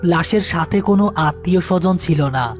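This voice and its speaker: A male storyteller delivers scripted horror narration. His voice is high for a man, with faint music underneath. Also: steady tone, fairly quick.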